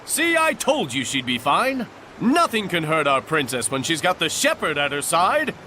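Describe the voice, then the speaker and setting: A man's voice: a little high, huge pitch swings, moderate pace, sharp tone, slight background noise, exaggerated voice. A man voicing a brash, loyal, crude soldier in a video game, boastful and irreverent. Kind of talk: English game voice acting.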